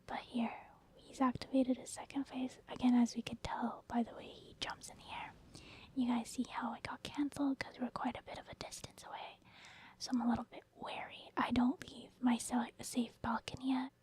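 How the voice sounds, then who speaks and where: A woman giving soft ASMR commentary over video game play, informal and hesitant as she thinks aloud. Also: on the high side, level tone, moderate pace. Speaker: gaming ASMR video host.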